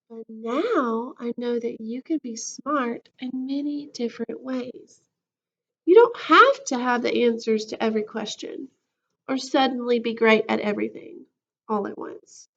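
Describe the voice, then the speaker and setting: Woman doing a kids read-aloud, high voice, slow n expressive, kinda dull lo-fi recording. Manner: animated, acting out the story. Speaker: school counselor reading a picture book aloud.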